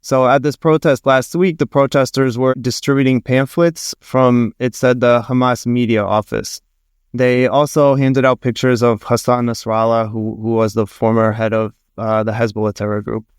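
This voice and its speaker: A male reporter explains a story on a news podcast with a smooth, resonant voice. He speaks informatively with small restarts, recorded dry and close. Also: mid-range voice, flat delivery, moderate pace, no room sound.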